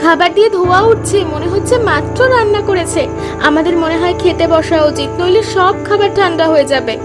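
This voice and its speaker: A woman's voice: extremely high pitch, normal speed. A woman voicing a greedy, scheming young wife who pushes her husband. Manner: coaxing, insistent. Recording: voice over music.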